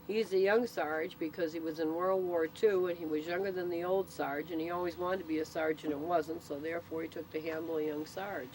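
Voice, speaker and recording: A middle-aged woman chatting casually, explaining things in an anecdotal storytelling way. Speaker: interviewee, CB club member. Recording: noisy amateur recording with vehicle rumble.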